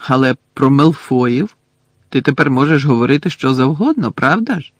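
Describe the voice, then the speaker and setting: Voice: medium pitch, animated, moderate pace, no echo, lightly acted. A reader voicing a friendly teenage boy wizard who asks light teasing questions.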